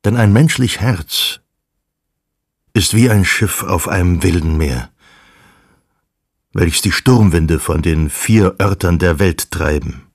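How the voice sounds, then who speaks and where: A man's voice: low-pitched, gravelly, breathy edge, dry recording, clean studio sound, full character voice. A man reciting grave psalms and old religious texts in a literary radio play, solemn and weighty. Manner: slow, weighty, deliberate delivery. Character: grave male voice reciting psalms and Luther's words.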